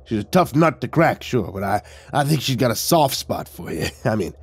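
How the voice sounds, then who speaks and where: A man's voice: medium pitch, sing-song, normal speed, vocal fry, breathy edge, no room sound, exaggerated voice. A man voicing a breezy, slangy male coworker in an audiobook, cajoling and reassuring. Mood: reassuring, coaxing. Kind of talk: audiobook character voice.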